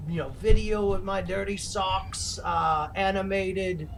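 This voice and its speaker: A man playing a theatrical mad villain hosting a comedy livestream, rambling and gloating with halting, melodramatic delivery and a creaky voice. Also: sits high, fairly even intonation, a bit bright, slight background noise, exaggerated voice. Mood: gloating, menacing. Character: theatrical male mad villain host plotting laser revenge. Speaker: livestream show host.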